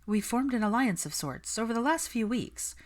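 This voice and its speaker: A woman's voice: fairly high pitch, expressive, darkish tone, voice pushed up, natural voice. Woman playing a guarded, serious young witch. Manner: measured, defensive, formal.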